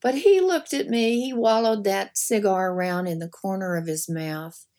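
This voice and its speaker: An older woman, an author and guest, telling folksy anecdotes in an animated conversational way. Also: medium pitch, normal speed. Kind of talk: storytelling in conversation.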